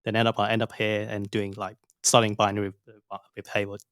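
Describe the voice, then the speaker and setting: A man from Hong Kong in his thirties answers haltingly, often pausing to search for words. His voice sits low and sounds smooth, close to the mic. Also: monotone, a bit bright, no room sound. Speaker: startup cofounder guest. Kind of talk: casual interview talk.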